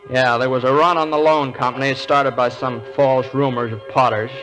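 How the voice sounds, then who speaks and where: An actor playing an earnest, frustrated, quick-tempered small-town American man in a 1940s radio play, hesitant and excitable. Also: medium pitch, soft background music, lightly acted, low-quality recording. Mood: weary, matter-of-fact. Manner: hesitant, repeating words, excitable. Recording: old radio play recording.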